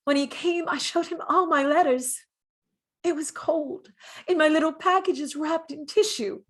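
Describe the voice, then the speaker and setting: Give the part of a dead, lovelorn woman, played by an actress in a high voice. She is gentle and wistful, looking back on her great love in a halting audio-play monologue that moves between hushed and intense. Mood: wistful, wounded. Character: dead gentle lovelorn woman, artist's former lover, remembering.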